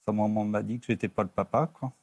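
Halting middle-aged man, plain-spoken. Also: fairly low pitch, steady tone, slightly rough, no echo. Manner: halting, plain-spoken, often tags phrases with a filler. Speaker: talk show guest. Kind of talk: casual personal recollection. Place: TV studio.

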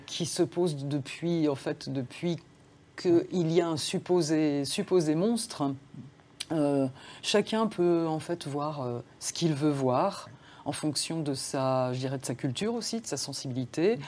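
A woman born in France, a crime novelist guest, answering interview questions thoughtfully and softly, pausing to search for words. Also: low voice. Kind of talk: reflective studio interview talk. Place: TV studio.

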